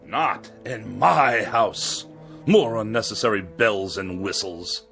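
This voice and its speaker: A man's voice: lowish voice, slow, vocal fry, fairly bright tone, soft background music, full character voice. A man playing a pompous, irritable and lonely magazine critic with haughty, precise diction in a 1960s television drama. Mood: haughty, scornful. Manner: haughty, ornate, precise wording.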